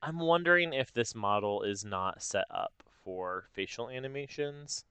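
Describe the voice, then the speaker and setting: A man narrating a casual step-by-step software tutorial, explaining informally and thinking aloud as he goes. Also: mid-range voice, expressive, moderate pace, clean tone, no echo. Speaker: tutorial host.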